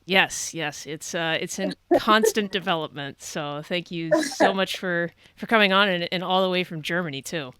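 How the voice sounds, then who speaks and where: A woman's voice: on the low side, moderate pace, few pauses, a bit bright, smooth, dry recording. A woman hosting a podcast, chatty and encouraging as she interviews her guest. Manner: chatty and encouraging, with frequent fillers and laughs. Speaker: podcast host, Navy reservist. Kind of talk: casual interview conversation.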